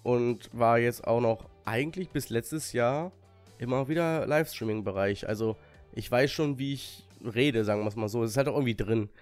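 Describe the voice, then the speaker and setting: A slightly hoarse young man co-hosting a podcast in casual chat, relaxed, with fillers and short pauses. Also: medium pitch, faint music behind. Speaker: podcast co-host.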